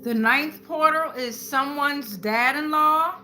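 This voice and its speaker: A woman's voice: high voice, slow pace, bright, forceful tone, low-quality recording. A woman hosting a live tarot reading, talking informally to viewers with repeated phrases and frequent check-ins. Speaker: tarot reader and live stream host.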